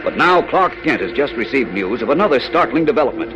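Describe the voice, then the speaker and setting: A man's voice: medium pitch, big pitch swings, fast, highs cut off, natural voice. A man acting as the grand, urgent announcer of a 1940s superhero radio serial, with emphatic delivery. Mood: dramatic. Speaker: announcer and narrator. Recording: old radio recording, music behind.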